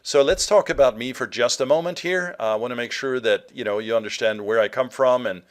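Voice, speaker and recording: A man's voice: mid-range voice, brisk. A middle-aged man from Norway giving a marketing webinar presentation, earnest and direct, with frequent filler sounds.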